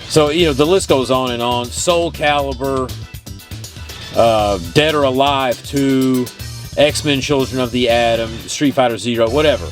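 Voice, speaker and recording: A man's voice: medium pitch, a little slow. A man from Texas with a Texan accent, talking casually and enthusiastically in a rambling vlog style as a retro game collector. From Texas, United States. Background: music.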